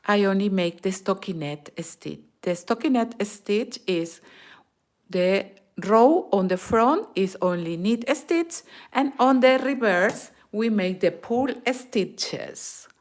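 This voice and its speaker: Peruvian woman, native Spanish, for a knitting tutorial; very slow, patient delivery, counts stitches out loud. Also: medium pitch, animated. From Peru. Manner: mixes in Spanish words. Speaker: knitting teacher.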